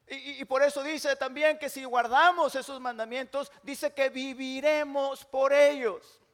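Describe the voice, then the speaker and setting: An older male pastor with a high-pitched voice preaches a sermon, moving from loud, forceful declarations to quiet, slow, drawn-out phrases. His voice rings out with a long echo in a large church hall. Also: steady tone. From Mexico. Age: 60s.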